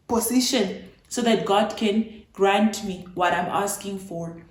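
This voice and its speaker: A woman in her twenties from Namibia talks casually to her viewers, animated and playful as she retells her own story. Her voice sits at a middle pitch. The recording carries a noticeable room echo. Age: 20s. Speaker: vlogger. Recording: echoey room.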